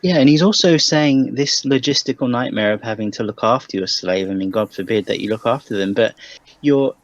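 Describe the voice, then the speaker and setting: A man's voice: medium pitch, a little fast, no echo, highs cut off. A man giving casual panel commentary and reading out texts as he discusses them.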